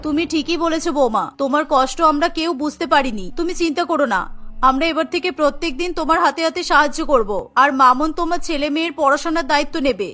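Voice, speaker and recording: Woman playing a contrite older mother-in-law, lower-pitched. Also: fast talker, bright, forceful tone. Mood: apologetic, reassuring. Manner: apologetic, reassuring. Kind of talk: cartoon storybook dialogue.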